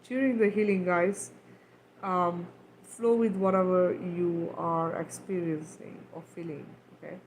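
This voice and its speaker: A woman's voice: lowish voice, fairly even intonation, unhurried, slight background noise, poor audio. A woman who grew up in Kenya, leading a livestream talk and guided healing in a loose, repetitive way, often checking in with "right?". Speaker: spiritual healer on a livestream.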